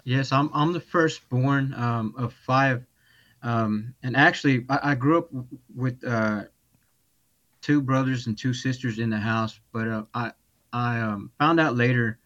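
A man from Texas telling his life story as a podcast guest over a video call, speaking haltingly with many restarts. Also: medium pitch, fairly bright tone, muffled recording. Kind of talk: personal testimony.

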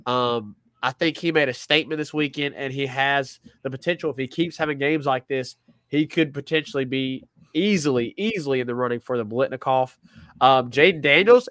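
A man's voice: on the high side, a bit bright, dry recording, clean studio sound. A man with a stuffy nose from a cold, co-hosting a casual sports talk show and thinking aloud with lots of restarts. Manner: rambling, self-correcting, thinking aloud. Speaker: podcast co-host.

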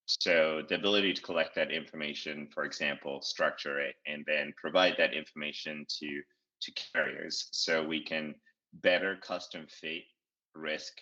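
CEO guest, very deep and bright, measured with many pauses, on a dull lo-fi recording. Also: male voice. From Zimbabwe. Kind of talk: interview answers. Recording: dull lo-fi audio.

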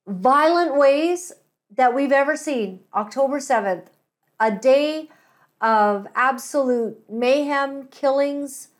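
The higher-pitched woman you'd hear hosting an independent online talk show, laying out her commentary in short, deliberate phrases. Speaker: talk show host and interviewer. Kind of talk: conversational commentary with Bible reading, introducing a guest.

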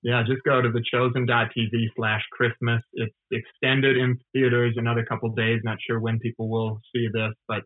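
Over a narrow, phone-like connection, a man speaks as a guest in a casual interview. His pitch is middling, and he talks earnestly with hesitant phrasing. The sound is dry. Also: level tone, normal speed, few pauses, no room sound. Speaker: podcast guest, associate producer of a TV series. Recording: thin phone-like call audio.

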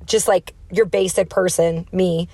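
A woman's voice: lowish voice, fairly even intonation, a little slow, mellow, breathy edge, dry recording. A woman in her thirties with a nasal voice, chatting casually and emphatically as she hosts a solo podcast. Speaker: podcast host.

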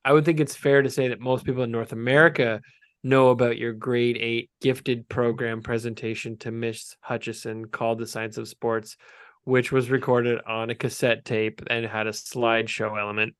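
A man in his forties hosting a casual podcast, rambling and joking, quick to laugh at his co-host. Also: mid-range voice, a bit bright, clean tone, no room sound. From Canada. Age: 40s. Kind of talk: casual chat.

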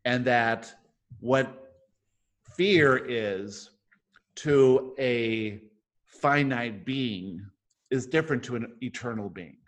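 A man's voice: mid-range voice, extremely slow, long pauses, a bit bright. A man hosting a discussion in a casual, explanatory way, as if thinking his points through aloud. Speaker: host of a book club discussion. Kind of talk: casual spiritual self-help discussion with some reading aloud.

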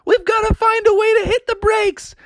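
A storyteller voicing Bubby, a brave boy bear cub who bosses his sister around with excited, urgent orders. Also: very high-pitched, moderate pace, dry recording, voice pushed up. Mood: dramatic.

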